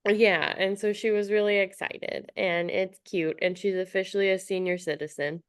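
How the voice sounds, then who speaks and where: A woman's voice: medium pitch, fairly even intonation, moderate pace, clean tone, no echo, clean studio sound. A woman chatting casually as a podcast co-host, chatty and giggly, with a slightly stuffy nose. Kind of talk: casual chat.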